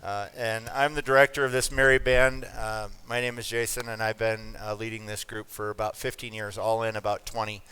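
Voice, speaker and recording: A man's voice: fairly low pitch, level tone, a little fast, few pauses, bright, forceful tone, clean tone, poor audio. A man, middle-aged, casually introducing his troupe to an audience as its director, with a joking manner. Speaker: puppet troupe director, host.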